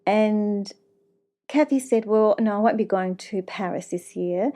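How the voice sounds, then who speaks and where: A woman's voice: mid-range voice, measured pace, mellow. A woman hosting a casual interview in a gushing, encouraging manner. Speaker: interviewer, host.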